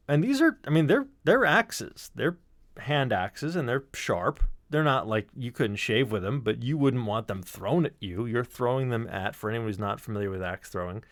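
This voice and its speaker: A man's voice: medium pitch, no room sound, clean studio sound. A man telling an anecdote in a casual, wry and emphatic way, with some swearing. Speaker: storyteller on a podcast stream. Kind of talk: casual storytelling.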